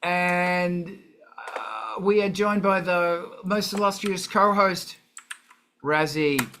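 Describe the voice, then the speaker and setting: A man's voice: high voice, steady tone, slow pace, bright, forceful tone, slight background noise. A man hosting a casual livestream in a sweary, jokey, rambling manner. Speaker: show host.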